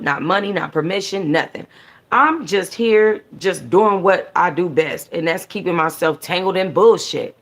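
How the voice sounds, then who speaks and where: A woman with a somewhat low-pitched voice reads a novel aloud. Her delivery is informal, like a book club host among regulars, and she trips over words and fixes them as she goes. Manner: informal, stumbles and corrects herself. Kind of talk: animated first-person audiobook-style reading with casual asides.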